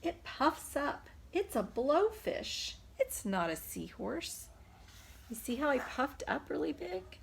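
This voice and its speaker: Female preschool storyteller, highish voice, unhurried delivery, engaging small children with simple questions. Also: vocal fry, a little hiss or hum. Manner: expressive, simple questions addressed to young children. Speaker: preschool teacher reading a picture book aloud. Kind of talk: children's storytime read-aloud.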